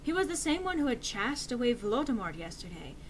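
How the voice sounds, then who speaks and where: A woman's voice: fairly high pitch, big pitch swings, brisk, a little hiss or hum. A woman reading fan fiction aloud as a dramatic, mock-serious narration with theatrical emphasis.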